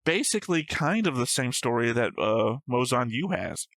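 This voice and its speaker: A man's voice: medium pitch, sing-song, moderate pace, fairly bright tone, dry recording, studio quality. A man co-hosting a reaction show, reasoning aloud in a casual, argumentative discussion of story theories. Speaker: co-host of an anime reaction show.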